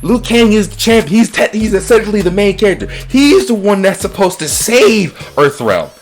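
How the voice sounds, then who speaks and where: Man, lively swinging intonation, casual talk-show host, profane opinionated rant, stumbling repeats, faint music behind. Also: high voice, normal speed. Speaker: podcast host. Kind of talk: casual movie-review chat.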